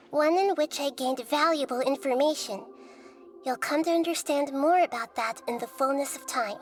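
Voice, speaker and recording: A woman voices a small girl deity from a video game. Her voice is high, and she speaks with calm, gentle diplomacy in measured, formal phrases. Soft music plays underneath. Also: lightly acted. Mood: calm, matter-of-fact.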